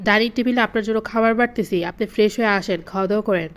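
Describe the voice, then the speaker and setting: A woman's voice: mid-range voice, fairly even intonation, rapid-fire, lightly acted. A woman playing a meek, devoted young housewife in a cartoon drama, submissive and apologetic in manner.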